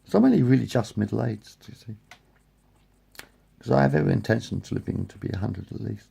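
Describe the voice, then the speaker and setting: A man's voice: on the low side, animated, a little fast, vocal fry, warm tone, slightly rough. A man in his late forties rambling to his phone camera, repeating and correcting himself as he wanders between thoughts. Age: 40s. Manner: repetitive, meandering, self-correcting. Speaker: vlogger. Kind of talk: rambling casual monologue to camera. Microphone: phone front camera.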